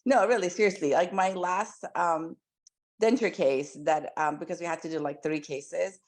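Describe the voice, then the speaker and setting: A woman's voice: fairly low pitch, moderate pace. A woman in her forties, born and raised in Iran, a pediatric dentist chatting as a podcast guest with lots of likes and ums. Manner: chatty and animated, frequent "like" and "um". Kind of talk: casual interview storytelling.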